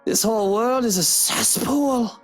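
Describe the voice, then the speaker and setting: A man's voice: very high voice, a little slow. A man voicing a frustrated, snobbish and cynical man in a visual novel, with a demanding, sneering manner. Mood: bitter, ranting. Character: frustrated, snobbish, bitter man, rude house guest. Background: faint game music.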